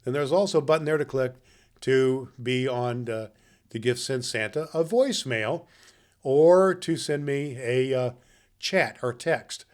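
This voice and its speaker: A man playing a jolly, grandfatherly old Santa Claus, hosting a podcast for children in a folksy, halting manner. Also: mid-range voice, close to an everyday voice. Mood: folksy, friendly. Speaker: podcast host. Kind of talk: story reading and chat to children.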